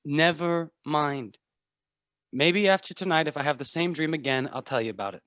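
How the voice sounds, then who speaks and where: Male actor as troubled young farmhand and younger brother, halting delivery, radio play, dry close sound. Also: on the high side, a bit bright, smooth, no room sound, highs cut off, close to an everyday voice. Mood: reluctant, uneasy. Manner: hesitant, halting. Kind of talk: radio drama acting.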